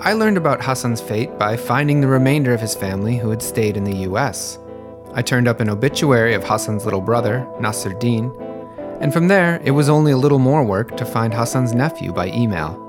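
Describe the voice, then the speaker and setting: A man's voice: mid-range voice, expressive, brisk, over music. Man narrating a history podcast. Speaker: podcast host. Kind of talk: scripted narration.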